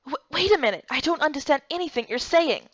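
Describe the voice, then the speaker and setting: A woman's voice: high voice, animated, quick pace, a bit bright, no room sound, lo-fi sound, voice pushed up. A woman voicing a confused, startled young woman lost in another world, in an amateur game dub. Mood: flustered, confused.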